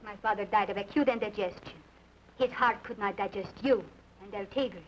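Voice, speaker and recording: A woman's voice: mid-range voice, normal speed, close to an everyday voice. An actress playing a proud, defiant young woman in an old radio drama, speaking with bitter scorn. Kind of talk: 1940s radio acting. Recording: dull lo-fi old radio recording with faint hiss.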